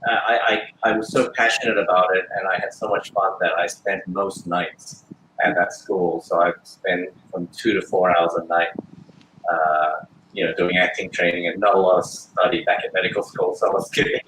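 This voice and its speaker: A man, answering interview questions thoughtfully with frequent pauses and restarts. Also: low voice, flat delivery, a bit bright, reverberant, a little hiss or hum, low-quality recording. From Australia. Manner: thoughtful, hesitant, many fillers and restarts. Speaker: guest actor and filmmaker.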